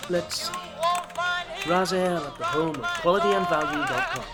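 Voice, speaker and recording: A man's voice: on the high side, normal speed, loud music behind the voice, over a noisy crowd, lightly acted. An actor playing a smug, sadistic male villain in an audio drama, mocking, theatrical and manipulative. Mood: sly, gleeful.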